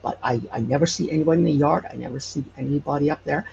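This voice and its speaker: A man telling personal stories conversationally as a podcast guest, hesitant and often pausing mid-phrase. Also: on the high side, gravelly. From Hawaii. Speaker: podcast guest, paranormal storyteller and investigator. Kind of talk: conversational personal storytelling in an interview. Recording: muffled lo-fi with faint background noise.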